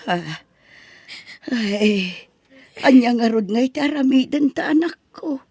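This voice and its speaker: A woman's voice: fairly high pitch, animated, a little slow, darkish tone, husky, no echo, clean studio sound, full character voice. A woman playing a poor, loving mother in a drama, with an apologetic, deferential manner. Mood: sorrowful, apologetic. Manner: plaintive, deferential. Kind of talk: Ilocano radio-style drama acting.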